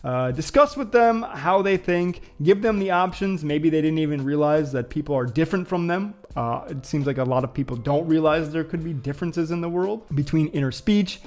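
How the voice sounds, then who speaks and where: A man's voice: on the high side, moderate pace, hardly any pauses, darkish tone. A man hosting a casual vlog, musing and thinking aloud to viewers with frequent fillers and hesitations. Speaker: vlogger host. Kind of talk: casual reflective vlog talk.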